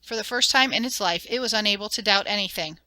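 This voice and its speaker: A woman reading a children's audiobook as narrator, in an expressive, dramatic and clearly enunciated storytelling style. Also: medium pitch, quick pace, no room sound.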